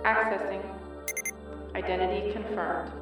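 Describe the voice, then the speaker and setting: A woman's voice: mid-range voice, level tone, a little slow. A woman voicing a starship computer in an audio drama, making formal, clipped, impersonal announcements. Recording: echoing, distant, with music behind.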